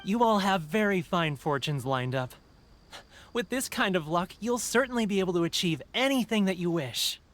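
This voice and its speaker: An actor playing a jovial, deferential fortune-stall keeper in a video game, effusive and obliging. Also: male voice, high-pitched, expressive, moderate pace, a bit bright. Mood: congratulatory. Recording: music under the voice.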